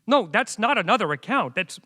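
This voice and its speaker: A man in a radio comedy playing an exasperated computer programmer protesting haltingly, recorded in an echoey hall. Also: high-pitched, big pitch swings, sharp tone, exaggerated voice. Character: Jeff Jefferson, exasperated male computer programmer on hold. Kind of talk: radio play comedy acting. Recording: echoey hall, live stage performance.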